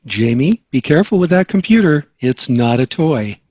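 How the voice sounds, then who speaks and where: A man's voice: on the high side, very animated, moderate pace, dark tone, highs cut off. A man playing a jokey suburban sitcom dad in an amateur table read. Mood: upbeat, teasing.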